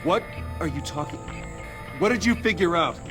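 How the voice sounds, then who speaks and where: A man's voice: high-pitched, animated, moderate pace. An actor playing a guarded, terse wealthy businessman with a secret hero life in a dramatic story video game. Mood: alarmed, urgent. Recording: dialogue over clear background music.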